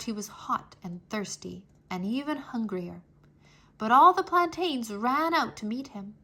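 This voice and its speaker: A woman narrates a children's storybook in a high, bright voice. Her pitch moves widely as she tells the tale, giving it an animated, dramatic feel suited to young listeners. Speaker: children's read-aloud narrator. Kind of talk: expressive storybook reading.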